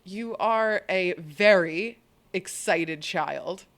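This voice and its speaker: A woman's voice: lowish voice, extremely slow, a bit bright. A woman co-hosting a podcast in casual chat. She swears freely and often restarts her sentences. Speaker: podcast co-host.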